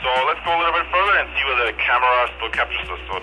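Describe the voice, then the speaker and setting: A man's voice: mid-range voice, lively intonation, rapid-fire, bright, forceful tone, a little hiss or hum, low-quality recording. A man giving casual test commentary over a two-way radio, repeating his phrases like announcements. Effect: heard over a walkie-talkie radio. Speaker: product reviewer. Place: outdoors, transmitted by two-way radio.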